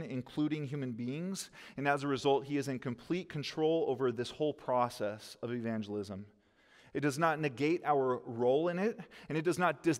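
A man in his thirties, a pastor preaching at a lectern, earnest and gesturing as he quotes scripture. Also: mid-range voice. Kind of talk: sermon. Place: church stage behind a lectern, indoors. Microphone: headset mic. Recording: long hall reverb.

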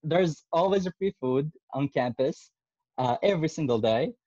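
Man in his twenties, from Syria. Middle-pitched and hesitant. Dry, lo-fi video call sound. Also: animated, moderate pace, warm tone, no echo. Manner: hesitant, many fillers. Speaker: medical student. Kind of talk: casual video call presentation. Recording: dull, lo-fi video call audio.

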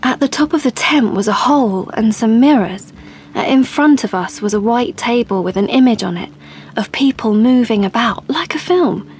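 A woman's voice: on the high side, expressive, normal speed, a little mellow, a little hiss or hum, lightly acted. A woman playing an assertive, persuasive young woman in an audio play, with an insistent, explanatory way of talking. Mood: confiding. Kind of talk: audio play monologue and dialogue.

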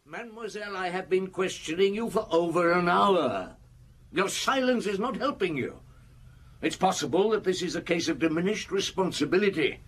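An actor playing a middle-aged police detective in a radio drama, patient, deliberate and probing, with a husky voice. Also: male voice, sits high, expressive, a bit bright. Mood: grave, pressing. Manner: deliberate, probing, grunting short replies.

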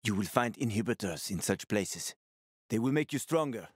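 A man's voice: fairly low pitch, expressive, normal speed, slightly rough, no echo, studio quality. An actor playing a roguish, wisecracking male survivor in a video game, talking in a casual, teasing, sweary way. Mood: wry, friendly.

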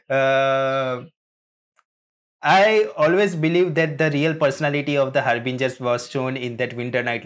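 A man hosting a reaction video, giving rambling, halting, casual commentary as he theorizes like a fan. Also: a little high, fairly bright tone. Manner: rambling, halting, chuckling often.

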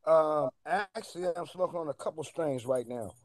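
A middle-aged man chatting casually as a radio guest, halting often in mid-sentence. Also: fairly high pitch, lively intonation, no room sound. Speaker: radio guest, cannabis strain reviewer. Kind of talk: casual chat.

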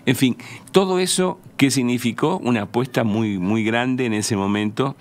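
A man in his sixties with a Uruguayan accent, reminiscing in a measured, hesitant way as a guest in a radio interview. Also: mid-range voice. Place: small radio studio. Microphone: close studio microphone on a stand.

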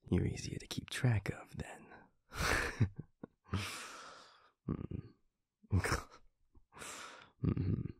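A man playing a teasing, affectionate werewolf boyfriend in an intimate audio roleplay, with a breathy, husky voice and a murmuring delivery. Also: no echo, studio quality. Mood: playful teasing. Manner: slow, murmuring, chuckling, playfully teasing. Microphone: close studio mic, dry.

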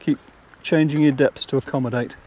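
A man's voice: mid-range voice, fairly even intonation, brisk, darkish tone, a little hiss or hum. An older man casually explaining fishing steps outdoors on a riverbank, talking step by step. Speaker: angler and channel host.

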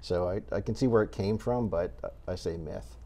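An older man speaking as an interview guest from a cat rescue, choosing his words in a measured, halting way. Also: deep voice, warm tone. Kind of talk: unscripted interview talk. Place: indoor TV studio set. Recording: clear background noise.

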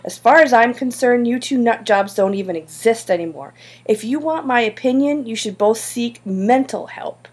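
A woman reader plays a fiery schoolgirl animal lover in a storybook. The voice is husky and pitched a little up, with scathing, emphatic indignation. Every phrase comes out as a scolding. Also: normal speed. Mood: angry, hurt. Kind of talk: audiobook character dialogue.

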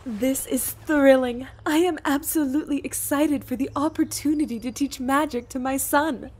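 A woman voicing a gentle motherly goat monster in a comic dub, speaking formally, precisely and earnestly. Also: sits high, fairly even intonation, normal speed, close to an everyday voice. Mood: delighted.

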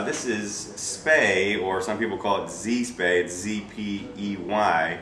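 Deep-voiced American man, echoey shop recording. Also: a bit bright. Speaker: fly shop presenter. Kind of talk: informal product showcase, unscripted. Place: small retail shop, indoors. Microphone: camera a few feet away. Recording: echoey shop, distant camera mic, faint noise.

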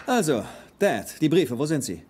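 An actor playing an earnest, insistent treasure hunter, a grown son, in a dubbed film. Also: male voice, on the high side, very animated, moderate pace, a little mellow, husky. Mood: urgent, impatient. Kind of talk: German film dub acting. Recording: film soundtrack with music underneath.

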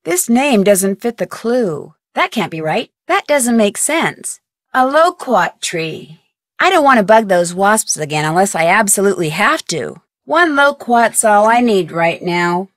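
A woman voicing a plucky, curious young detective in a video game, with an American accent and perky, clearly enunciated, expressive delivery. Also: mid-range voice, measured pace, no echo, clean studio sound. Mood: musing, focused. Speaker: game character and tutorial guide.

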